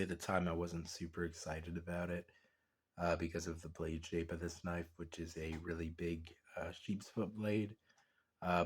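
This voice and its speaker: A low-voiced man who sounds congested from a cold. He talks through a pocketknife in a loose, meandering way, leaning on ums and likes as he goes. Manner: relaxed, rambling, many fillers. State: stuffed up with a cold. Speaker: knife collector reviewer. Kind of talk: casual product review.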